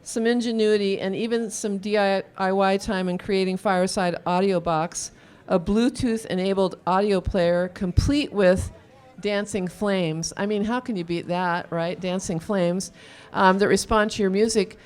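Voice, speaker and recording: A woman's voice: mid-range voice, fairly even intonation, measured pace, darkish tone. A woman presenting at a live event, casually introducing a speaker from the stage through a microphone.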